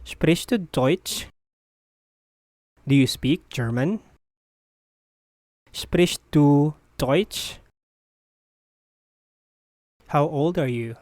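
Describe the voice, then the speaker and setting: A teacher's voice slowly repeating short phrases in a language drill, each one said clearly and evenly. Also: medium pitch, a little mellow, no echo, studio quality. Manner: deliberate, evenly paced repetition of short phrases.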